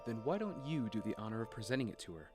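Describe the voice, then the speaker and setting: A man's voice: lowish voice, sing-song, quick pace, over loud music. An actor playing an earnest family man and father in an audio drama, with a conversational manner. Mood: fatherly.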